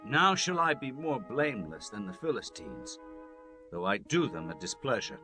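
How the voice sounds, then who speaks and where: A man's voice: fairly low pitch, sing-song, moderate pace, music in the background. A man playing a headstrong, proud young strongman in dramatized scripture, declaiming in a commanding way. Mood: defiant.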